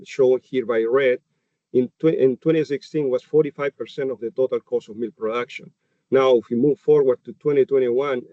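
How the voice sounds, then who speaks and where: Man, Chilean Spanish accent, native Spanish speaker, webinar presenter, middle pitch, explanatory, dry, slightly muffled video-call sound. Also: warm tone, no room sound. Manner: explanatory, heavy on "you know" and tag questions like "okay?" and "right?". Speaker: dairy nutritionist presenter.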